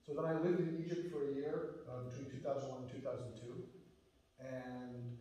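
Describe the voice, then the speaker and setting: A man's voice: mid-range voice, little pitch movement, moderate pace, mellow. A middle-aged American man, a lecturer and musician, answering audience questions in an earnest, thoughtful way, often restarting his phrases. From United States. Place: lecture hall. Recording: echoey hall, distant mic.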